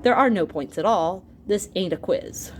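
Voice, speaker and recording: A woman's voice: medium pitch, moderate pace, a little hiss or hum. A woman reading an educational book aloud as a teacher to students, expressively, speaking directly to her listeners with asides. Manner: animated, with asides and exclamations to listeners.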